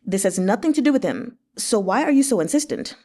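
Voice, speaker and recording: A woman voicing an indignant male government official, forceful and accusing, in a story reading. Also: medium pitch, very animated, fairly quick.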